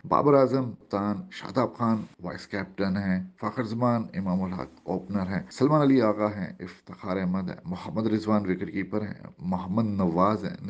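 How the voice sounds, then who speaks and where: A man presenting a cricket news report as a voice-over, in formal, measured phrasing. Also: sits low, darkish tone, dull audio.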